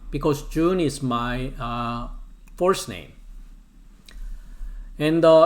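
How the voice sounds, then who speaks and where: A middle-aged man with a Korean accent, lecturing on Bible Greek slowly and deliberately, with many "uh" pauses. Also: fairly high pitch. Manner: slow and deliberate with frequent "uh" pauses. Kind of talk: classroom lecture.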